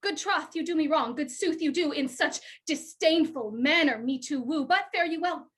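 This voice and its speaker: A woman's voice: sits high, moderate pace, a bit bright. A woman playing a scorned young woman, speaking reproachful verse in a stage play. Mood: indignant. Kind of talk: Shakespearean stage acting.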